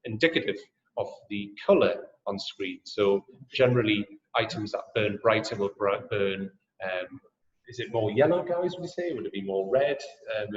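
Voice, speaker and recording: A man from Newcastle with a Geordie accent, hosting a webinar and making a friendly sales pitch full of ums and uhs. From Newcastle, England. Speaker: webinar host and drone sales trainer. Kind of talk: presenting a webinar and answering questions. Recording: echoey, dull webinar audio.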